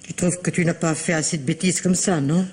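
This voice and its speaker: A stern matriarch in an old radio play. She is sharp, theatrical and full of reproach, heard through the faint noise of an aged recording. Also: deep voice, steady tone, brisk. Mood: grave. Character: stern, indignant family matriarch scolding a young man. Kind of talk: vintage French radio drama acting.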